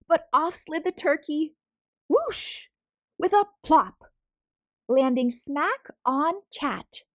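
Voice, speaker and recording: A woman reading a children's picture book aloud as a storyteller, expressive and deliberate, drawing out words for emphasis. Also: high voice, no echo, clean studio sound. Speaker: storyteller, read-aloud host.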